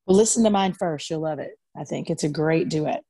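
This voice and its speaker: A woman from Arkansas, a country singer, talking casually in an interview from her bed, her voice slightly raspy from singing two nights in a row, with lots of fillers and restarts. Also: low-pitched, a little fast, warm tone, no echo, clean studio sound. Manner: chatty, many fillers and restarts. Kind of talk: casual interview over video call. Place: in bed at home.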